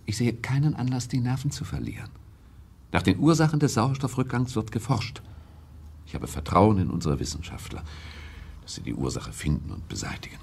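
An actor playing an evasive, authoritative male head of government in a radio play, gravelly and husky. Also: fairly low pitch, very animated, fairly quick, darkish tone, exaggerated voice. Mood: evasive, firm.

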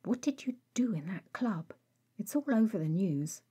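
A woman voicing an exasperated, protective male vampire in an audio story, scolding in a clipped, often hushed way. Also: mid-range voice, expressive, moderate pace, breathy edge.

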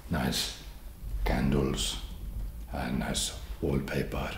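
A very low, slow-talking vampire acted by a man with a mock foreign vampire accent. He is soft-spoken, halting and awkwardly friendly, desperate to seem trendy in an ASMR comedy. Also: little pitch movement, gravelly, roomy sound, a little hiss or hum, full character voice. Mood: awkwardly welcoming. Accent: put-on foreign vampire accent.

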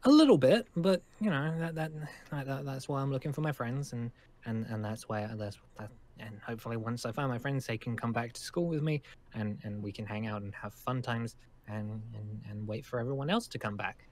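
A man playing a nervous, friendly young survivor in an improvised game roleplay, speaking in halting, rambling stammers. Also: mid-range voice, darkish tone, close to an everyday voice. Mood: nervous, hesitant. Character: nervous, friendly young male zombie-apocalypse survivor living at a school.

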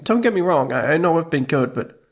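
A man's voice: on the high side, expressive, a little fast. A man playing a needy, playful young boyfriend in intimate ASMR roleplay, pouty and sweet, stammering when flustered. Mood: needy, teasing.

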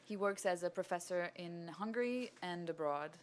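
A woman's voice: lowish voice, moderate pace. A woman hosting at a film festival, reading a formal introduction of a guest on a cinema stage. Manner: formal, scripted. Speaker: festival host.

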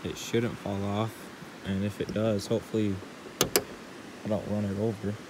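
A young man vlogging a solo camping trip in a laid-back, deadpan way, talking to a handheld action camera from his hammock and his truck. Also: lowish voice, normal speed. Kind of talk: casual solo camping vlog. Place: outdoors in a hammock, then inside a truck cab. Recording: action camera with outdoor noise.

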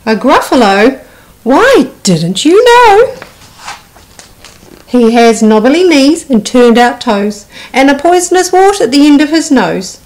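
A woman's voice: high voice, very animated, takes it slowly, darkish tone, full character voice, poor audio. A woman voicing a small, polite but boastful mouse in a children's storybook. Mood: matter-of-fact boasting. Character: small, cheeky, confident brown mouse, polite trickster.

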